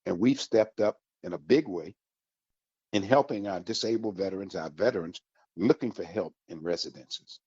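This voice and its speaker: A man with a low, husky voice hosts a community radio show, selling the area to listeners in a folksy, upbeat way. The sound is dry and a little muffled, like a lo-fi broadcast. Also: moderate pace, a little mellow, no echo. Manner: folksy, upbeat promotional delivery. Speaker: radio host.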